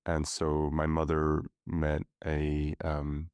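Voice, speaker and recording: A middle-aged man, a podcast guest who grew up speaking Russian, talking thoughtfully with many pauses and fillers. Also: a bass voice, monotone, a little mellow, clear, resonant tone, dry recording. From grew up in many countries. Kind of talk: reflective conversational interview.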